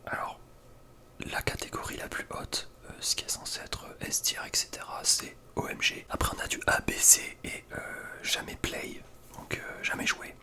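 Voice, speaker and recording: A young man speaks in a soft breathy whisper, giving casual, slangy ASMR gaming commentary with a hesitant delivery. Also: moderate pace. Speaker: ASMR video creator.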